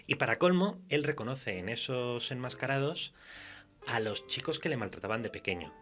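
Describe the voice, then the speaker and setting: A man with an accent from Spain speaking as a guest author in a conversational radio interview, halting as he thinks aloud. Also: medium pitch, animated, fairly bright tone, soft background music.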